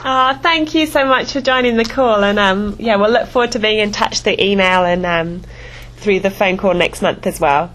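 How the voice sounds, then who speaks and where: A woman's voice: medium pitch, normal speed, fairly bright tone, rough recording. Gracious woman hosting a conference call. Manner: gracious, friendly.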